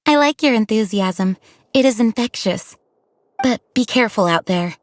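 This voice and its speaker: An actress voicing a composed, courteous woman of authority in a video game, with formal, measured phrasing. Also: on the high side, expressive, slight background noise, close to an everyday voice. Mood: warm encouragement. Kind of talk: video game dialogue acting.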